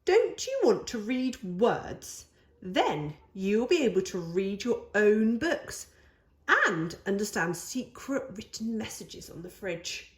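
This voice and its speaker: A woman's voice: medium pitch, big pitch swings, slow, roomy sound. A woman voicing a patient, persuasive young boy, the older brother in a storybook, coaxing and reasoning.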